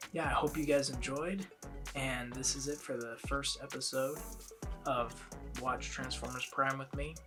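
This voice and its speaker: A man in his twenties, congested from a head cold, chatting casually to camera in a rambling way as a reaction video host. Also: mid-range voice.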